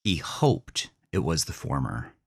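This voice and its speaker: A man hosting and narrating a scripted horror story in an intense, deliberate, dramatic way. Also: extremely low pitch, big pitch swings, gravelly, husky. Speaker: host, author and narrator.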